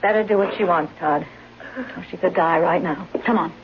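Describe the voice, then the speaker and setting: A woman's voice: on the low side, moderate pace, natural voice. A woman playing a brazen, scheming caregiver in a 1970s radio drama, with a wry, cutting manner. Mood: cool, sardonic. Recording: muffled, noisy 1970s radio broadcast.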